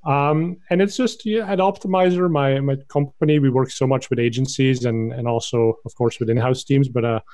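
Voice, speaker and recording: A man's voice: medium pitch, steady tone, normal speed, few pauses, mellow. A man in his forties from Belgium, Dutch his first language, a podcast guest explaining things in an easygoing way with fillers. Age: 40s. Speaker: guest, company founder. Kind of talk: casual interview chat.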